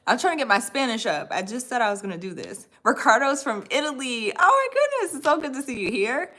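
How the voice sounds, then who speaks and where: A woman's voice: on the high side, big pitch swings, fairly quick, pushed and bright. A woman in her thirties hosting a casual livestream, reading viewer comments and talking about online courses in a friendly, upbeat way. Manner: friendly, upbeat, says y'all and right often. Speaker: livestream host and course coach.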